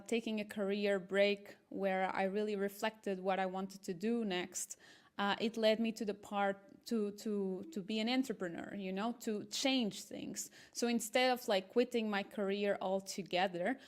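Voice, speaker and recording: A woman in her thirties from Portugal, speaking English as an eager, earnest interview guest, with small restarts. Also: medium pitch. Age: 30s. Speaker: guest, marketing entrepreneur. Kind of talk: casual interview talk.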